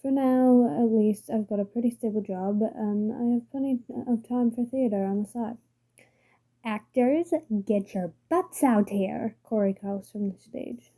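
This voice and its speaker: A woman's voice: fairly high pitch, fairly even intonation, normal speed, few pauses, warm tone. A woman with a strained, failing voice reading a story aloud carefully, with small slips. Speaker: story reader.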